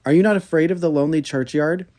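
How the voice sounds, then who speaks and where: A man's voice: fairly high pitch, fast talker, darkish tone, close to an everyday voice. Man reading as an earnest, humble poor peasant. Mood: wary. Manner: earnest, formal storybook speech.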